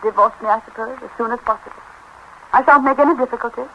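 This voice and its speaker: An actress playing a flighty, self-dramatizing, worldly English wife with a theatrical, flippant manner, in a 1930s radio comedy style. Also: female voice, a little high, quick pace, dull audio, close to an everyday voice. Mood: self-pitying. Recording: hissy 1930s radio broadcast.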